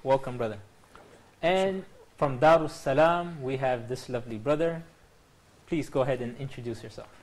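A man's voice: mid-range voice, normal speed. A man in his thirties hosting a TV quiz show from a studio, upbeat and formal as he greets teams and reads out clues. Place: TV studio set.

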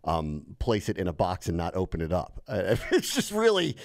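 A man's voice: sits low, wildly swooping pitch, moderate pace. A man hosting a podcast, introducing guests and reading sponsor spots in an upbeat way. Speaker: podcast host.

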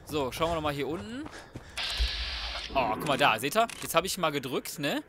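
A man's voice: fairly high pitch, very animated, normal speed, noisy background. A man giving casual, slangy and crude commentary on a video game, talking to himself as he plays. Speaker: let's play gamer.

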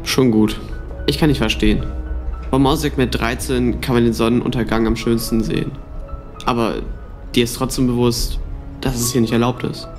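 A lenient, restless guard on a city wall, acted by a man in a school radio play. The voice is of middling pitch, with faint music behind it. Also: fairly even intonation, normal speed, natural voice.

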